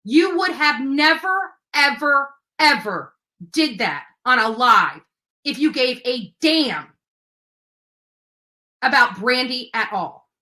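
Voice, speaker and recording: A woman hosting a livestream in a bright, sharp voice pitched on the high side. She rants with indignation, leaning hard on her words. Her delivery is confrontational and emphatic, and she is willing to swear. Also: sing-song, slow pace. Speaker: livestream host. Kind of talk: impassioned livestream rant.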